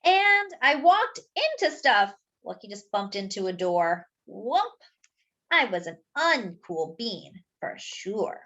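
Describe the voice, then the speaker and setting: Storyteller, female, unhurried and animated, stops to comment on the pictures. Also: mid-range voice, lo-fi sound. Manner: expressive, pausing to point out pictures. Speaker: children's storyteller. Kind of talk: picture book read-aloud.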